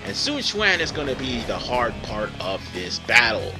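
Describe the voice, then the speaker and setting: A tired man talks his way through a let's play in casual, digressive commentary, often circling back to correct himself. His voice moves up and down in a lively way. Video game music plays clearly behind him. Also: mid-range voice, moderate pace. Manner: rambling, digressive, self-correcting. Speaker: gaming video host.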